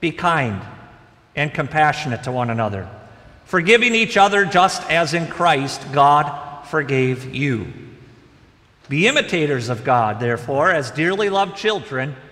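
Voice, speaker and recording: A middle-aged man preaching a sermon from the pulpit, animated and conversational. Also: a little high, measured pace. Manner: animated preaching with wide gestures, moving about behind the pulpit. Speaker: pastor. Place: large church sanctuary. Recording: long church reverb.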